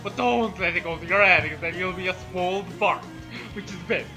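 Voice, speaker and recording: A man chatting casually and jokingly, rambling along with halting restarts. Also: high voice. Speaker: co-host reading fan fiction aloud. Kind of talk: casual chat. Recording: loud music over the voice. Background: music.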